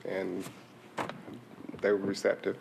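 A man on a city council speaks very slowly in a low, flat voice. His remarks are measured and full of hesitant pauses, with faint room noise behind him. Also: creaky. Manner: slow, measured, hesitant fillers. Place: council chamber.

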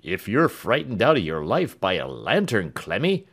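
Calm, wry young manservant in a storybook, slightly raised voice, read by a man. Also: on the low side, moderate pace, slightly rough, heavily acted. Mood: composed. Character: composed, dry-witted young male servant.

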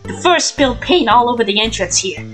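A haughty matriarch of a crime family played by a woman, condescending with menace under her politeness. Her voice is fairly high, over soft game music. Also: animated, a little fast. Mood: proud.

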